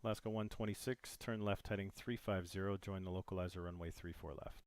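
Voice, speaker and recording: A man playing an air traffic controller in a simulator, giving instructions in measured, formal radio phraseology. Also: low voice, fairly even intonation, smooth, no room sound, studio quality.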